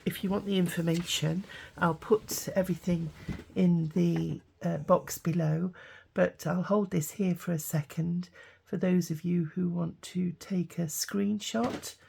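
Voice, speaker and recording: Woman craft vlogger, sick and croaky, gravelly dark tone, apologetic and rambling. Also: lowish voice, fairly even intonation, unhurried, slight background noise. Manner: rambling, lots of ums and restarts, apologetic. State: has a cold, croaky with a sore throat. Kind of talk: casual craft tutorial chat.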